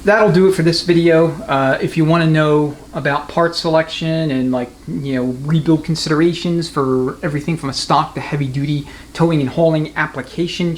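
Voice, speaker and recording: Man explaining mechanical work, noisy background. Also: on the high side. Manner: matter-of-fact, explanatory. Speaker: transmission mechanic and instructor. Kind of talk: unscripted hands-on technical demonstration. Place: transmission workshop.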